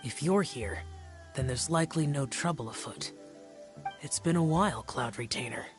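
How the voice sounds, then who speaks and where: A man's voice: a little high, moderate pace, lightly acted. A man voicing a stern, reserved immortal guardian in an anime-style video game, formal and solemn. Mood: cool, watchful. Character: stern, aloof immortal guardian spirit, youthful, terse. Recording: music behind the voice.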